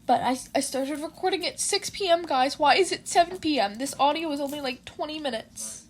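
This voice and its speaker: A woman's voice: sits high, fairly quick, a little hiss or hum. A woman podfic reader narrating fan fiction and chatting informally about it in a slangy way.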